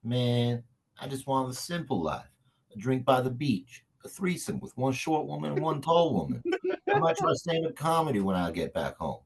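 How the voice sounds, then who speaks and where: A man's voice: mid-range voice, big pitch swings, normal speed, gravelly. A man playing a laid-back, crude, swaggering action hero, offhand and cocky, in a comedy table read.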